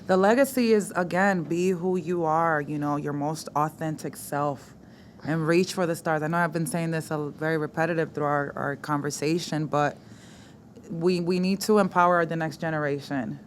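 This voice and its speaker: A woman from the Dominican Republic, a sports host and movement coach, speaking as a guest in a casual podcast interview, animated and quick to laugh, dropping in Spanish words. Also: deep voice, a little hiss or hum.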